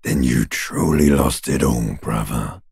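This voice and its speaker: Cavernous, gravel-throated, taunting corpse. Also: male voice, a bass voice, takes it slowly, a little mellow, studio quality, exaggerated voice. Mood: bleak, goading. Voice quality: gravelly, rasping growl. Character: bloated talking male corpse, bitter, mocking, world-weary. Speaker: voice actor. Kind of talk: video game character voice lines.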